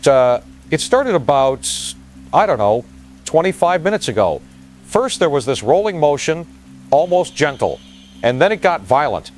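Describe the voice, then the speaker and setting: A man's voice: fairly high pitch, big pitch swings, moderate pace, soft background music. A man playing a shaken field reporter giving a hesitant, vivid eyewitness disaster dispatch in an audio drama.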